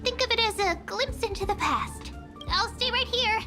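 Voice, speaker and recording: A woman's voice: moderate pace, music in the background. A woman voicing a cute, mysterious and playful sprite companion in an anime game, with a girlish, affectionate manner. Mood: curious, gentle. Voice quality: girlish, very high voice.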